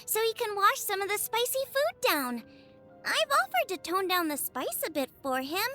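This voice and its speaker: Teenage girl game chef, very high-pitched, highly animated intonation, bubbly, earnest, enthusiastic. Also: exaggerated voice. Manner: perky, earnest, enthusiastic, expressive. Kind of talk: scripted video game character voice lines.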